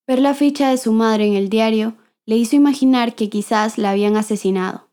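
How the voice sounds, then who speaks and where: A woman giving measured, scripted documentary narration as the host. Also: fairly high pitch, dark tone.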